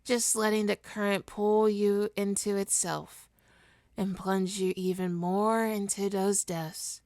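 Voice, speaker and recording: A woman playing a smug, seductive supervillain in an ASMR roleplay, coaxing and teasing her hero nemesis with deliberate, hypnotic talk. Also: mid-range voice, level tone, darkish tone, dry recording, clean studio sound. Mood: soothing, hypnotic.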